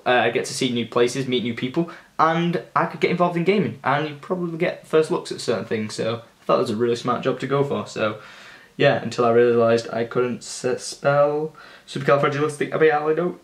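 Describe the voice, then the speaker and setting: A man's voice: a little high, fairly bright tone. A nineteen-year-old man chatting casually and upbeat through a Q and A vlog, rambling with lots of fillers. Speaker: YouTuber. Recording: roomy echo.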